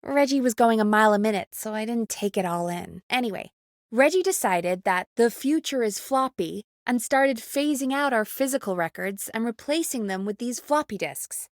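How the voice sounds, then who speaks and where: A woman's voice: a little high, expressive, normal speed, dry recording, studio quality. A woman playing an earnest, urgent radio producer in a video game, conversational and pressing in naturalistic dialogue. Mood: explanatory, calm.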